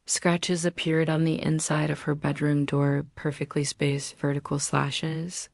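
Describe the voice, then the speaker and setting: A woman with a low voice narrates a scary story as its host. There is steady fry in her tone, and she keeps her pitch within a narrow band. She speaks deliberately, leaving dramatic pauses between phrases. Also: no room sound, studio quality. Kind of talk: scripted horror story narration.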